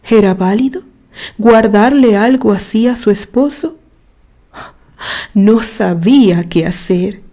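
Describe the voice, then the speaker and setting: A woman reading a literary story aloud in a dramatic, expressive way, with measured phrases and pauses. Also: medium pitch. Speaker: narrator reading a written story.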